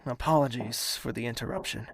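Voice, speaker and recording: An actor playing a young prince in an audio drama, speaking in a measured, formal way. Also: male voice, medium pitch, noisy background, lightly acted. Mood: calm, reassuring. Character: young prince, tender in private, commanding with subordinates.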